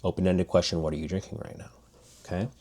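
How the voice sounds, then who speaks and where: Low-voiced man casually teaching languages. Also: level tone, fast, darkish tone. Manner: loose, restarting, demonstrative. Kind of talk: casual spoken lesson.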